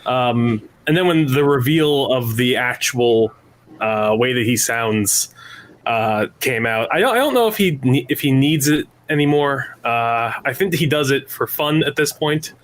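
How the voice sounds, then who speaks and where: A man's voice: medium pitch, animated, measured pace. A man from a tabletop podcast cast answering fan questions in casual chat. He rambles and thinks aloud, with many fillers, over a video call. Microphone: remote mic over a video call.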